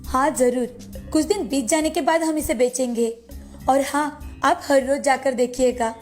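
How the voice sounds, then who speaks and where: A woman acts out a greedy village headman's wife in a moral story. Her voice is high, and she talks in nosy, taunting exclamations. Light music sits underneath. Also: a little slow, darkish tone. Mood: greedy, gloating.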